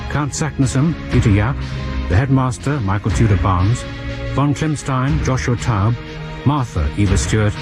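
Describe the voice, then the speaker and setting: A man's voice: medium pitch, moderate pace. A man reading formal scripted closing credits as a radio announcer. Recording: loud music under radio announcement.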